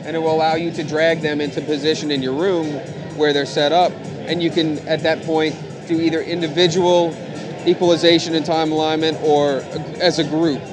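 A man in his thirties gives an informal product demonstration in a fairly high voice. He explains things in a matter-of-fact way, like a company rep. Music plays clearly behind him on a busy trade show floor. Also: flat delivery, fairly quick, crowd noise. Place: large indoor trade show hall, at a booth.

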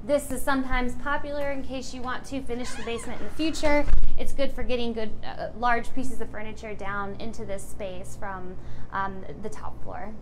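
A woman with a slightly high voice walks a viewer through a show home in a casual, friendly way, pointing out features as she goes. She talks informally and drops in small fillers. A faint hiss of noise sits under the recording. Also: steady tone, normal speed. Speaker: home builder tour guide. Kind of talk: casual walkthrough home tour. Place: indoors in an empty show home.

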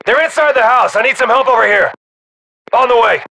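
A man playing a tense, tough special forces squadmate in a game, with clipped, forceful callouts. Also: very high voice, a little fast, vocal fry, full character voice. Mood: focused. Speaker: soldier in squad. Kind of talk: military game combat callouts.

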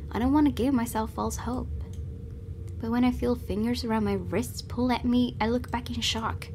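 A woman's voice: on the high side, a little mellow, slight background noise. A woman hosting a let's play, reading a visual novel's narration aloud with drama.

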